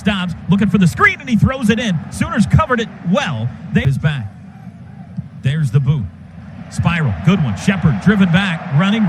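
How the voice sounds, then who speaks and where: Radio football announcer, male, higher-pitched, clipped rapid calls, stadium noise under him. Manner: clipped rapid calls, bursts to a shout on big plays. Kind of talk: live radio football play-by-play.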